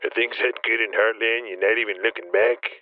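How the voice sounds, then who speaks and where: A man's voice: fairly low pitch, fairly even intonation, a little fast. An actor playing a blunt, assured, profane male wrestling promoter in an audio drama, talking through a telephone filter. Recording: tinny phone line.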